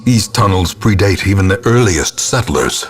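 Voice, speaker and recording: An actor voicing a grim, ominous old ghostly ancestor as a gothic horror game narrator, solemn and theatrical, with a gravelly, husky voice. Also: male voice, deep voice, expressive, a little hiss or hum. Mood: grim foreboding. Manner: solemn, portentous, deliberate delivery.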